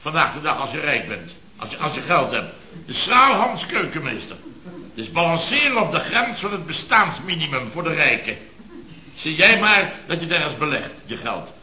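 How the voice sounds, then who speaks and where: Een acteur die een mopperende, opschepperige en zielige rijke aannemersbaas speelt, pompeus en nadrukkelijk, in een oude radiokomedie. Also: male voice, a little high, very animated, normal speed, bright, forceful tone, full character voice. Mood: aggrieved, blustering. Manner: pompous, grumbling, emphatic, repeats himself. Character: blustery, self-pitying, boastful rich building contractor boss. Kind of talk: 1970s radio comedy acting. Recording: dull, roomy vintage radio recording.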